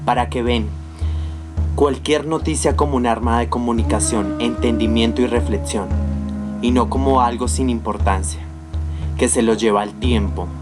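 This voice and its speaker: A man's voice: medium pitch, fairly even intonation, normal speed, natural voice. A young man playing an earnest time-traveling chronicler in a scripted radio drama. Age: young adult. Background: loud instrumental music.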